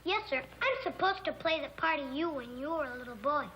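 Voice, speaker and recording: Small American boy, high forceful voice, self-assured little businessman in an old sitcom. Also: lively intonation, brisk, a little hiss or hum, heavily acted, rough recording. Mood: polite. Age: child. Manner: crisp, polite, self-assured. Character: polite little boy turned businesslike child agent.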